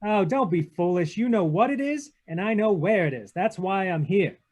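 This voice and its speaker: Radio noir lead, male actor: sardonic, impatient gumshoe, high-pitched voice, clipped tough-guy lines. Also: lively intonation, normal speed, a little mellow. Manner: terse, sardonic, hard-boiled. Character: tough, wry, impatient male private detective. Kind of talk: 1940s radio drama read-through with narration. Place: video call read-through.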